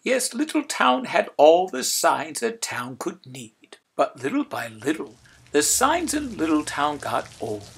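A man reading a children's story aloud in an expressive, emphatic way. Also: fairly high pitch, a little slow, creaky, husky. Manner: expressive, emphatic, repeating phrases for effect. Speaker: storybook reader. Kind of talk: animated children's read-aloud narration.

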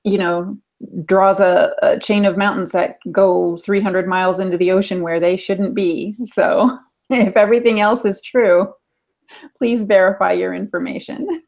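A woman's voice: mid-range voice, fairly even intonation, a little slow, creaky, darkish tone. A middle-aged woman speaking as a podcast panelist on a video call, explaining things in a conversational way. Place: room at home, video call.

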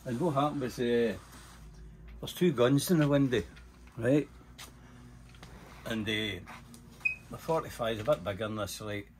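A man's voice: medium pitch, a little slow, pauses often, a little hiss or hum, rough recording. An older man with a Scottish accent telling casual anecdotes, swearing freely and acting out the dialogue as he goes. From Scotland. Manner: animated, sweary, acts out dialogue. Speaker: storyteller.

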